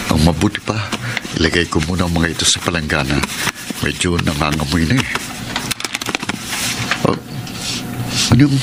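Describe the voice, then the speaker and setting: A very noisy radio drama recording carries the low voice of a wounded, suspicious Filipino husband. He speaks earnestly, with aggrieved weight and dramatic pauses. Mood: hurt, brooding, then suspicious, tense. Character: troubled, wounded husband suspecting his wife of cheating.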